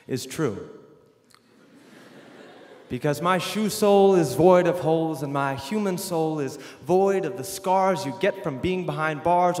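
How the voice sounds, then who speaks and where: A young man performing a spoken word poem with a rhythmic, rhyming delivery and shifting loudness. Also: on the high side. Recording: echoey hall.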